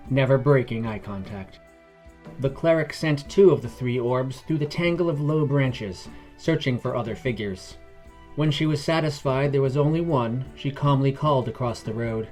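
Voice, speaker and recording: A man's voice: mid-range voice, darkish tone. A man reading scripted fantasy narration as a storyteller.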